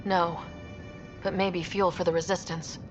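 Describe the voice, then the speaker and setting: A woman's voice: on the low side, level tone, unhurried, lightly acted. An actress playing a resolute young female space team leader in a video game, firm and matter-of-fact. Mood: reassuring. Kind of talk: video game dramatic acting. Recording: dull game audio under music.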